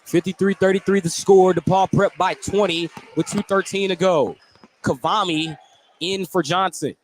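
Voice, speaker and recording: A male play-by-play commentator with a fairly high voice calls basketball live on radio. He narrates each movement in descriptive detail, with some gym noise in the background. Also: fairly even intonation, moderate pace, low-quality recording. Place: courtside broadcast spot in a school gym.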